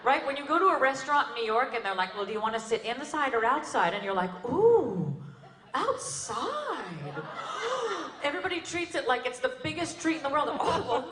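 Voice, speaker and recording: A woman's voice: sits high, sharp tone. A woman doing observational stand-up in an exaggerated, act-it-out style. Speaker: stand-up comedian. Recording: echoey room with clear noise.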